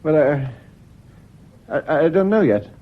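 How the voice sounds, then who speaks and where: An actor playing an evasive, touchy, genteel British widower under questioning in a radio drama. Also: male voice, on the high side, very animated, a little slow, lo-fi sound. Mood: uneasy, touchy. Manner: guarded, defensive, hesitant, proper.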